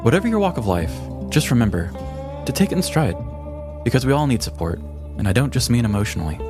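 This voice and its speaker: A man's voice: mid-range voice, very animated, fast talker, over music. An American man co-hosting a podcast, speaking in a storytelling and conversational style. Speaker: podcast co-host. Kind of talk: scripted true crime narration and casual banter.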